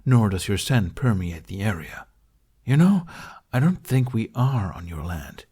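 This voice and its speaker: A man voicing a sardonic, protective male dragon who argues in a deadpan, exasperated way. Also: lowish voice, unhurried, breathy edge, full character voice. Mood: argumentative. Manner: deadpan, argumentative.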